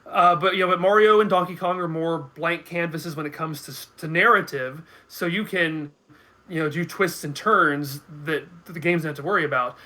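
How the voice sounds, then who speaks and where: A man giving casual commentary as a guest, halting and often restarting his phrases. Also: fairly high pitch, pushed and bright. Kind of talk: casual chat.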